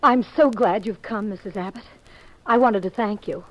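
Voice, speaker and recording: A woman's voice: medium pitch, moderate pace, lightly acted. An actress playing an anxious, polite married woman in danger in a mid-century radio mystery, genteel and fretful. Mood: grateful, uneasy. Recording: dull old radio recording.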